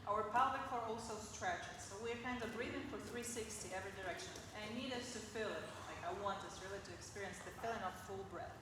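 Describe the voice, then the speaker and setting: A woman's voice: medium pitch, fast, hardly any pauses. A woman from Ukraine with a Ukrainian accent, leading a yoga class in a playful, coaxing way and counting out the breaths. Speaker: yoga instructor. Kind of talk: guided yoga class instruction. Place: large gym hall. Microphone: room mic far from speaker. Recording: echoey hall from far off, background noise.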